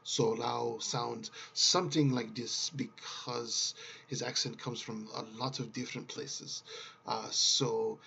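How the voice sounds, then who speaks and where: Man doing a cosmopolitan detective, gravelly, mixed accent. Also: medium pitch, fairly even intonation, takes it slowly, bright, forceful tone, full character voice. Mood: measured. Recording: dull lo-fi sound, faint background noise.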